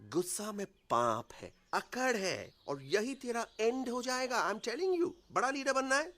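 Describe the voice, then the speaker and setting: Pompous cartoon animal lecturing everyone, bright sharp voice, swooping drawl, bits of english. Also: male voice, extremely high pitch, very animated, a little slow, faint music behind, full character voice. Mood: preachy. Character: pompous, preachy talking forest animal who favours negotiation. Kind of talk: animated film voice acting.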